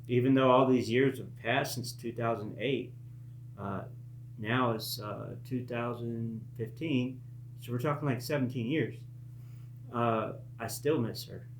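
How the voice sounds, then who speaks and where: A man in his late thirties telling a personal story casually, in a halting, reflective and earnest way. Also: on the low side. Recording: echoey room with faint hum.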